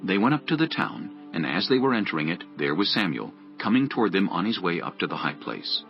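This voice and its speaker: A man's voice: low-pitched, sing-song, a little fast, creaky. A man reading scripted dramatic narration for an audio Bible. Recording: dull lo-fi audio with music. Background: background music.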